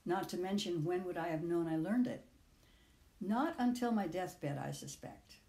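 An older woman in her sixties or beyond reads her own reflective personal essay aloud in a measured, literary way, with deliberate phrasing. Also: on the low side, mellow, echoey room. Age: 60s or older. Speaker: author reading own audio essay.

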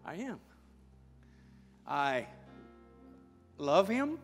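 Male pastor, middle-aged, high voice, fervent sermon and prayer, long church-hall reverb. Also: sing-song, very slow. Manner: fervent, building to loud praying. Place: large church sanctuary with congregation. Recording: church hall with music behind.

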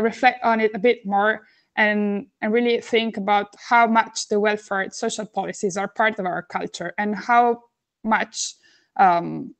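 Earnest young woman on a webcam call. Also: mid-range voice. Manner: earnest, thoughtful, argues a point. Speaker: webinar panelist. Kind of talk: casual discussion. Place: indoor room. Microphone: video call webcam.

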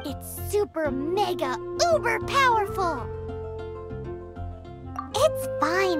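A woman voicing a tiny, chirpy, excitable fairy companion with a squeaky childlike voice in a video game. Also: exaggerated voice. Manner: chirpy, exclamatory, refers to self in third person. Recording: loud music behind the voice. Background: game music.

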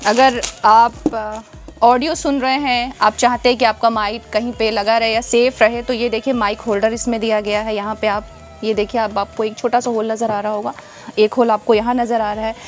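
Young woman, higher-pitched voice, product review presenter, step-by-step explanations, music behind. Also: little pitch movement, moderate pace. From India. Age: young adult. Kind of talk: product demonstration, addressing viewers. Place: indoors, small room stacked with product boxes.

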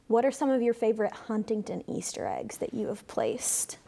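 A young woman interviewing a guest on a small studio set, asking friendly, quick follow-up questions. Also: on the high side, gravelly, warm tone. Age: young adult. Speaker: interviewer, student station manager. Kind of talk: radio interview.

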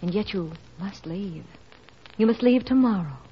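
A woman's voice: medium pitch, lively intonation, normal speed, a crowd in the background, close to an everyday voice. An actress playing an earnest, anxious, determined teenage girl in 1940s radio drama, pleading and melodramatic. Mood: cold, resolute. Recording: muffled, slightly noisy 1940s radio broadcast.

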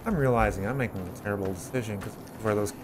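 A man's voice: lowish voice, quick pace, background noise. A man giving casual, rambling game commentary, thinking aloud as he plays. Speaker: gameplay host.

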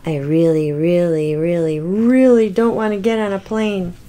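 A woman voicing an anxious, boastful but loving little toy T-rex in a picture book, with exaggerated, expressive delivery. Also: fairly low pitch, moderate pace, slight background noise, lightly acted. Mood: panicky, complaining. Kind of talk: children's picture book read-aloud.

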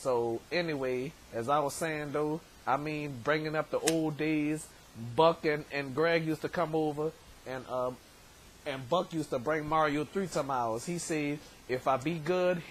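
Man, hoarse, thirties, rambling repeats, bedroom webcam, light hiss. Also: on the high side, unhurried. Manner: rambling, many stammered repeats. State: hoarse, voice worn from shouting. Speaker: show host. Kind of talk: casual vlog shout-outs. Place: bedroom, indoors. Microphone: webcam at desk distance.

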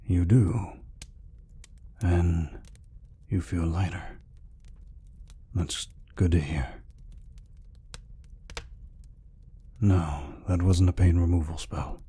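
A man voicing a gentle, peaceful orc healer in an ASMR roleplay, speaking softly and reassuringly without hurry. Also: full character voice. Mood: gentle, caring. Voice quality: deep, husky, breathy. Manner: soft, unhurried, reassuring. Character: gentle, peaceful male orc healer, soft-hearted caretaker.

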